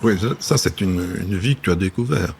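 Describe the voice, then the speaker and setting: A man's voice: low voice, brisk, gravelly, darkish tone, a little hiss or hum. A man interviewing a guest, asking short prompting questions and supplying missing words. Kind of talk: conversational interview.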